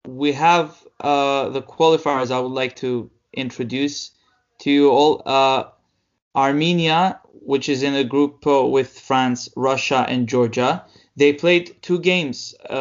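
A young man at an average pitch explains match results on a casual podcast, stopping often for fillers. The recording sounds dull and lo-fi. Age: young adult. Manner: halting, many fillers. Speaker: podcast co-host. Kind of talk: casual sports chat. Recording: dull lo-fi audio.

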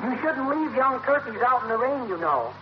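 Simple chatty american chicken farmer, really high voice, muffled old radio comedy. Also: male voice, sing-song, normal speed, background noise. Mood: cheerfully oblivious. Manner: folksy, oblivious, chuckling. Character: guileless, chatty, slow-witted male chicken farmer.

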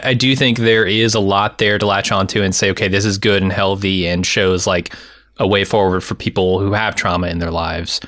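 A man's voice: low-pitched, a bit bright, no room sound, clean studio sound. A man co-hosting a podcast, reasoning earnestly through a casual analysis and often restarting his sentences. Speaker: podcast co-host.

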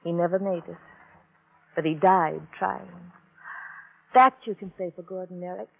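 A woman's voice: on the low side, fairly even intonation, unhurried, gravelly, husky, exaggerated voice. A woman playing a world-weary, bitterly romantic stage type with a languid, dramatic manner in a radio drama. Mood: wistful, bored. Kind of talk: 1950s radio drama acting. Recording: narrow-band vintage radio recording.

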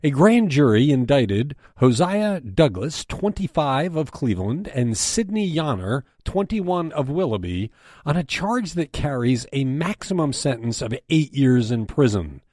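An American man delivers a scripted news briefing in the role of editor and host. His pitch is animated and moves widely through each sentence. The sound is dry, with hardly any room to it. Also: a little slow, dry recording.

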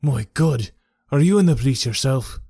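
A man reading an audiobook and voicing a bitter, crippled old soldier in a gruff, impassioned manner. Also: medium pitch, expressive, moderate pace, warm tone, dry recording, studio quality, heavily acted. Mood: agitated.

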